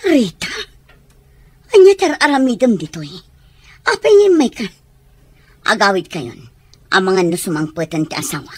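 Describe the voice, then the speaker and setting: A woman playing a resentful, defensive mother in a radio drama, with a curt, scolding manner and husky voice. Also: mid-range voice, big pitch swings, normal speed, pauses often, no room sound. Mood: irritated, scolding. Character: irritable, resentful mother who refuses her abandoned family.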